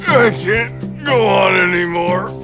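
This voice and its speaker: A gravelly, blustering, high-pitched cartoon bear. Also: male voice, expressive, takes it slowly, exaggerated voice. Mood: exhausted, whining. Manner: blustering, whining, indignant. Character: big blustering hot-tempered bear, proud and easily exasperated. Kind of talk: exaggerated dubbed cartoon acting. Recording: loud music over the voice.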